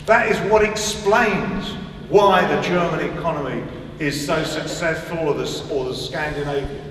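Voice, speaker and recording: A man giving a deliberate, emphatic public speech at a lectern on stage, pausing to stress his points. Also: fairly high pitch, fairly bright tone, a little hiss or hum. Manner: emphatic, deliberate, with measured pauses and repetition. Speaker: speaker at a political conference. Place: large hall on stage. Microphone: stand mic at a lectern, heard from a distance. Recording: echoey hall, distant mic.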